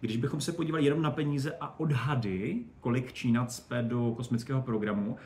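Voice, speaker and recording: A man giving animated, colloquial, digressive explanations as an expert guest in a casual interview. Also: mid-range voice. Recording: roomy echo, faint background noise.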